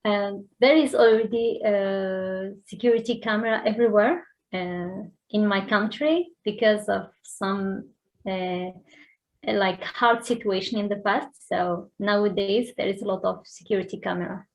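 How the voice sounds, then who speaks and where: Algerian woman, mid pitch, hesitant english, lots of ums. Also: unhurried, rough recording. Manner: hesitant, with many fillers. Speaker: English learner.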